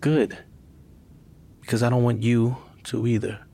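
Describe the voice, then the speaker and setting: A man playing an easygoing, joking partner in an audio drama, with a husky voice and a bantering manner. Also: medium pitch, flat delivery, measured pace, mellow. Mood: playful.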